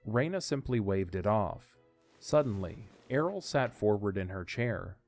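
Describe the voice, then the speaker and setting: Low-pitched male story narrator. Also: animated, a little slow, darkish tone, soft background music.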